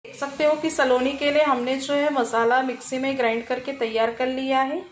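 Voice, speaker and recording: A woman hosting a cooking channel, calmly narrating a recipe step by step in her home kitchen. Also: high voice, normal speed, echoey room, background noise. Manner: calm, instructional, repeats "we will add".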